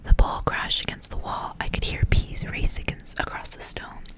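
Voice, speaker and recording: A woman whispering a literary fairy tale as an ASMR reading, in hushed narration. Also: normal speed. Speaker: ASMR reader.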